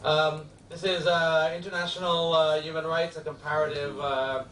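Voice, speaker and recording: A law professor with a New York accent gives a friendly, halting classroom lecture full of filler sounds. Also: male voice, fairly high pitch, little pitch movement, a bit bright, slight background noise. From United States. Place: lecture hall. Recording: echoey hall from far off.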